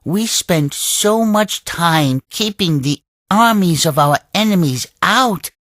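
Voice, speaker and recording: An actor playing a wise old creature leader in a video game, telling a tale in a solemn, deliberate, sage-like way. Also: male voice, high voice, lively intonation, fairly bright tone, dry recording, clean studio sound, exaggerated voice. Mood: earnest, wise. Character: wise old male Oni leader creature, serene storyteller. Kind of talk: scripted storybook narration for a game cutscene.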